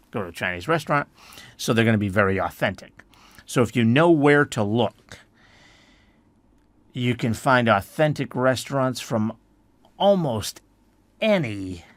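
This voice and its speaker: A man from the United States hosting an educational podcast, explaining things in a relaxed way with many "I mean"s and restarts. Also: mid-range voice, no echo. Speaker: podcast host.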